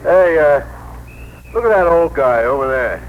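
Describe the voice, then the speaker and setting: An actor playing a talkative, good-humored older cab driver, colloquial and blustery, in a 1960s radio drama. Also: male voice, fairly high pitch, expressive, a little slow, narrow, tinny audio. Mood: friendly. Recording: noisy vintage radio broadcast recording.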